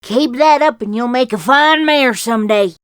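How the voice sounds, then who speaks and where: Woman doing a high-voiced old Southern granny mare. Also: moderate pace, smooth, lightly acted. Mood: approving. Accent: American Southern. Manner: folksy, elderly. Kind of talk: dramatic fan fiction reading.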